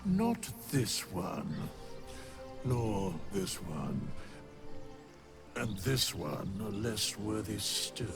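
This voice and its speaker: Casting: ancient skeletal keeper of the dead, fantasy game. Low, swooping pitch, archaic and cryptic, whispery edges, solemn and unhurried. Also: male voice, very animated, vocal fry, over music, exaggerated voice. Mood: solemn, grave. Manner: archaic, formal, cryptic, measured delivery. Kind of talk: video game voice acting, archaic English.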